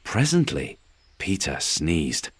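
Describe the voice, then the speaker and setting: A man narrating a children's bedtime story in a calm, gentle, expressive storytelling manner with a soft voice. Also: deep voice, slow pace, dry recording. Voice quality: soft, husky. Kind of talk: scripted storybook narration, bedtime read-aloud.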